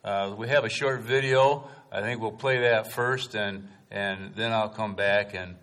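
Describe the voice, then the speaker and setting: A man who is a missionary pastor, speaking to a church congregation in a relaxed, folksy way. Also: lowish voice, unhurried.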